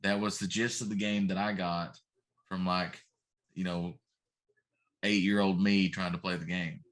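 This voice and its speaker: A middle-aged man with a fairly low voice chats casually as a show co-host. He is emphatic and likes to exaggerate his complaints for comic effect. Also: little pitch movement, measured pace. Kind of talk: casual chat.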